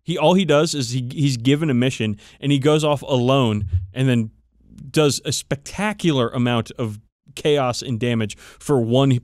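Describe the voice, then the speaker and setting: A man's voice: medium pitch, moderate pace, darkish tone, no room sound, studio quality. A man hosting a podcast, casually telling tabletop game lore with sweary enthusiasm. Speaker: podcast host. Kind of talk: casual chat about tabletop wargame lore.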